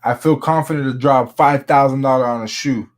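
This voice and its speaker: A man's voice: mid-range voice, steady tone, fairly quick. Laid-back man talking sneakers. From Miami, Florida. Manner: laid-back, slangy, says "bro" often. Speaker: sneaker vlogger. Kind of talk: casual chat.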